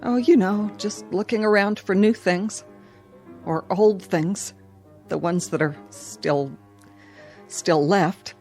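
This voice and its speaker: An actress playing a middle-aged small-town housewife in a radio drama, determinedly pleasant while uneasy and evasive. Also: female voice, medium pitch, takes it slowly, long pauses, darkish tone, soft background music. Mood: anxious, hushing. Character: fearful small-town housewife keeping up brittle cheer.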